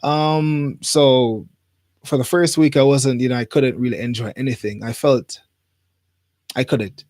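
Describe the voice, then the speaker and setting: A man from Jamaica hosting a livestream, chatting informally to viewers in a rambling way and pausing as he goes. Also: medium pitch, steady tone, a little mellow, no echo, clean studio sound. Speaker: livestream host. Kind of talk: casual livestream chat to viewers. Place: studio.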